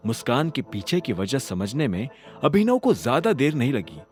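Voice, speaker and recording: A man's voice: mid-range voice, very animated, moderate pace, a little mellow, soft background music. A man narrating an audio drama in a dramatic, scripted storytelling style.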